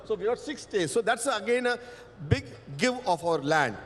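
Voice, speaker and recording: Male panel speaker, native Tamil, high voice, emphatic, hall reverb. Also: animated, moderate pace, fairly bright tone. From India. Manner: emphatic, rhetorical questions, stretches key words. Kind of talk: panel talk.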